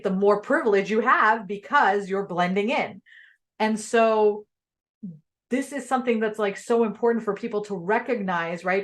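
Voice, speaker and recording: A young adult woman from Pakistan, Urdu her first language, hosting a casual interview and talking candidly with lots of fillers. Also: mid-range voice. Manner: animated and candid, filler-heavy. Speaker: podcast host.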